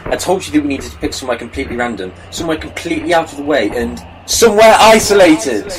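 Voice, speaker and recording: An actor playing a wry, knowledgeable time-travelling adventurer in an audio drama, teasing and explanatory. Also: male voice, a little high, lively intonation, fast, a crowd in the background, close to an everyday voice, low-quality recording.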